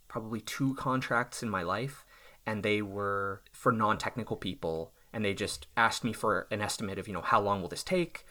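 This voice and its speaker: A man in his thirties, a self-employed software developer, talking casually and thoughtfully about his work, often restarting his sentences. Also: on the low side. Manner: thoughtful, reflective, with restarts and small laughs. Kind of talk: casual podcast conversation.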